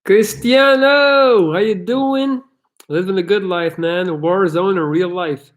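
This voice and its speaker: A man's voice: high-pitched, takes it slowly. A man from Belgium with an American-sounding English accent, hosting a casual live Q&A and answering chat questions bluntly and candidly, swearing freely. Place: his parents' house.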